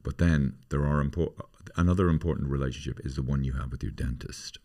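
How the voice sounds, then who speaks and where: Deep-voiced man in his fifties. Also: fast, creaky, dark tone. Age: 50s. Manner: profane, rambling, self-mocking. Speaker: podcast host. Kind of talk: casual comedic chat.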